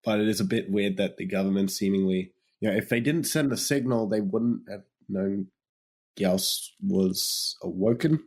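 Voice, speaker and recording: Hoarse, sick man with a husky voice. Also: on the low side, normal speed, darkish tone. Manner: teasing, jokey banter. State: hoarse, losing his voice from illness. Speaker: podcast co-host. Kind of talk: casual film discussion.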